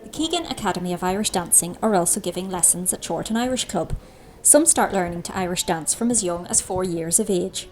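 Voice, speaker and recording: A woman's voice: lowish voice, animated, brisk, a little mellow, slight background noise. A woman narrating a news report as a voice-over. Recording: music bed under the voice-over.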